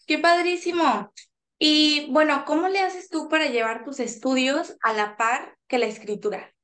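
High-voiced Mexican woman hosting a podcast. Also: measured pace, a bit bright. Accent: Mexican Spanish. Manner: upbeat, enthusiastic exclamations. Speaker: podcast host. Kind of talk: casual interview chat.

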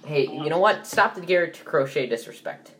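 A man's voice: on the high side, expressive, moderate pace, fairly bright tone, a little hiss or hum. A young man hosting a casual sports podcast, sounding stuffy from allergies and rambling with many fillers and restarts. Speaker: podcast host.

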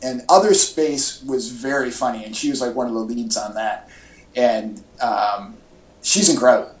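Male podcast co-host, average pitch, informal and halting fan chatter, captured with noticeable room echo. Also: steady tone. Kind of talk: casual chat about comics and movies. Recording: echoey, roomy podcast audio.

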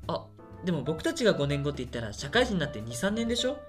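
A man playing a shy, lonely university student in a radio drama, mild and hesitant with a deadpan streak. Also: on the high side, fast talker, music in the background. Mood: musing. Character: shy lonely male university student, soft-spoken, quietly scheming. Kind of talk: radio drama dialogue and first-person narration.

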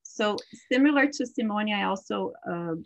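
A woman whose first language is Portuguese, co-hosting a podcast in casual chat, talking freely with many fillers and quick laughs. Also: mid-range voice, expressive, vocal fry, highs cut off. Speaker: podcast co-host.

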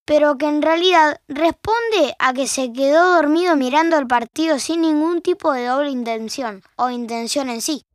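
An Argentine actress playing the wry, all-knowing narrator of a scripted domestic audio drama. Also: female voice, high-pitched, no room sound. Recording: music bed behind a dry studio voice.

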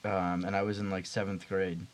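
A man from New England, chatting casually as a podcast co-host in a relaxed, easygoing way. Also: low-pitched, a little fast, a little hiss or hum. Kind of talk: casual chat.

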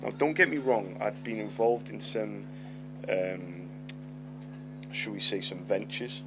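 A man's voice: lowish voice, slow. A middle-aged man, a lisp and a stammer, telling a story on his podcast, swearing freely and repeating words. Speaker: podcast host. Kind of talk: storytelling monologue. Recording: loud music behind voice.

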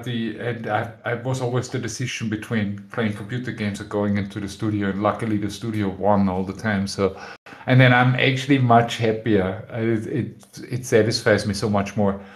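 Low-voiced man, candid. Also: a bit bright. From Austria. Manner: candid, searching for words, frank. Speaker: guest musician. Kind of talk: casual podcast interview.

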